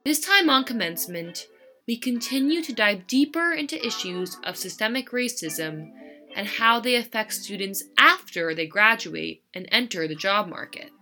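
A woman hosting a podcast, reading a scripted narration in an earnest, measured way. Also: mid-range voice, lively intonation, bright, forceful tone, faint music behind. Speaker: podcast host.

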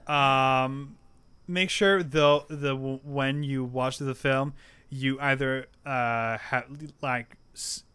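Young dude, smooth clear voice, gets hyped about movies, swears a bit. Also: male voice, medium pitch. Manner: enthusiastic, casual swearing, quick bursts of excitement. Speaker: podcast co-host. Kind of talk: casual chat.

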